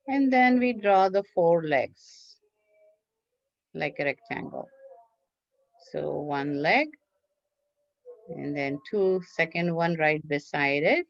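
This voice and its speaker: A woman's voice: fairly low pitch, no room sound. A woman teacher leading a children's craft class, giving simple step-by-step instructions and repeating them in an encouraging way. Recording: dull lo-fi online class audio.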